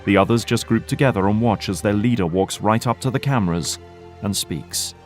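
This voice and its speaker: A man's voice: on the low side, fairly quick, a little mellow, soft background music. A man narrating a scripted audio drama.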